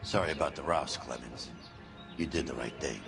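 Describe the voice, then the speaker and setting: An actor playing a world-weary older police detective and ex-Marine in a video game, gruff, gravelly and laconic. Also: male voice, rumbling low, moderate pace, over music. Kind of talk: dramatic video game acting. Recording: loud vehicle noise behind.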